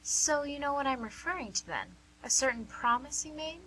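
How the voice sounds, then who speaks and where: Lady doing a needling know-it-all nurse in a radio drama, bright n a bit high, faint hum. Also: rough recording. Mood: knowing, teasing. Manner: teasing, probing, deliberate. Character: knowing, wry, needling female nurse. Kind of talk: amateur radio play acting. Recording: amateur radio recording with hum and noise.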